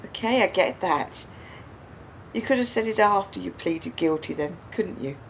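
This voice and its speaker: An actress in a radio play voicing an embittered, grieving widow who speaks with accusing, pointed questions. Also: female voice, fairly low pitch, lively intonation, moderate pace, vocal fry, a little hiss or hum, low-quality recording. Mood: pressing, sceptical. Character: embittered grieving widow confronting her husband's killer.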